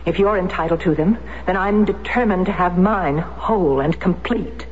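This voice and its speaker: An actress playing a middle-aged, determined American war widow in a radio drama, speaking earnestly and insistently. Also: female voice, on the low side, moderate pace, darkish tone, natural voice. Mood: challenging, stubborn. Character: determined American war widow seeking her husband's betrayer. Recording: dull, noisy vintage radio recording.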